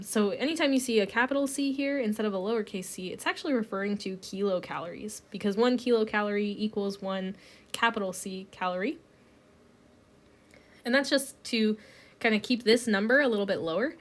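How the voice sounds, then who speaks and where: A woman teaching a recorded chemistry lecture while getting over a cold, talking through each step with plenty of ums. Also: medium pitch. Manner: relaxed, explains step by step with many ums. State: recovering from a cold.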